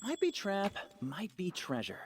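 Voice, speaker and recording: A woman's voice: medium pitch, huge pitch swings, unhurried. A woman voicing a sly, confident rogue companion in short fantasy video game lines. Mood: sly, alert.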